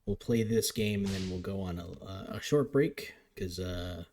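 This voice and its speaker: Low-voiced man calling a game. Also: moderate pace, darkish tone. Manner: chatty, upbeat play-by-play with frequent restarts. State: voice getting a little raspy. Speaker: league commentator. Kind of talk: live game commentary.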